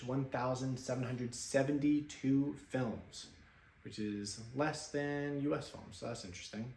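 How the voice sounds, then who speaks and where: Guy chatting loosely about his movie stats at home, kinda offhand, lots of uhs, room echo and a little hum behind. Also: mid-range voice. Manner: relaxed, offhand, many fillers. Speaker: film vlogger. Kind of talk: casual screen-share commentary. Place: apartment.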